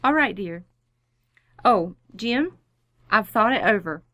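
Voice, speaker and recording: A woman's voice: mid-range voice, animated, slow pace, fairly bright tone, no echo. A woman playing a composed, firm suburban wife in an old-time radio comedy, polite with dry sarcasm.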